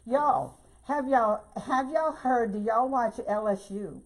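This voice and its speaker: An older woman with a hoarse voice and a Louisiana Southern accent, chatting folksily through a bow-making tutorial. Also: on the high side, slow, rough recording. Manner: chatty and folksy, keeps saying y'all. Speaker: craft tutorial host. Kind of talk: casual bow-making demonstration.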